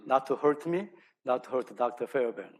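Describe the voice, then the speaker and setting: Korean-accented man giving a halting lecture. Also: medium pitch, expressive, darkish tone. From South Korea. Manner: self-deprecating, halting delivery. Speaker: lecturer, archaeology scholar. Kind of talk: public academic lecture. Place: speaking live to an audience at an event.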